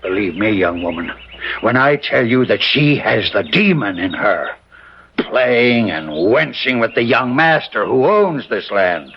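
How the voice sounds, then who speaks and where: A man's voice: fairly low pitch, very animated, a little slow, slight background noise. An actor playing a stern, bearded old settler father who whips his daughter, speaking in an archaic, preaching way in an old radio drama. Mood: contemptuous. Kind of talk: 1970s radio drama acting. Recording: muffled old radio recording.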